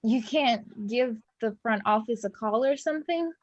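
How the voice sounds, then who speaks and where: Woman acting anxious young female worker, plainspoken, fairly high voice, everyday stage drama dialogue. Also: moderate pace, highs cut off, close to an everyday voice. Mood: pleading, insistent. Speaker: actor in a staged play reading. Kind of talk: naturalistic stage dialogue reading.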